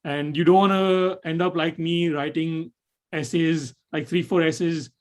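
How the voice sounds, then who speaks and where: A man's voice: fairly high pitch, fairly even intonation, measured pace, a little mellow. Rambling young man on a video call. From Nepal. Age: young adult. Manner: rambling, lots of fillers and restarts. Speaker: admitted student panelist. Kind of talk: webinar answer, casual.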